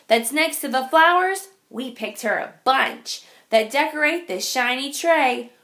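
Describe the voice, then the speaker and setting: A woman with a high voice reads a picture book to small children. Her delivery is very slow and sing-song, with a noticeable creak in the voice. She places each phrase deliberately, as a teacher would. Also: fairly bright tone. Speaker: teacher reading aloud. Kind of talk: picture-book read-aloud for young children.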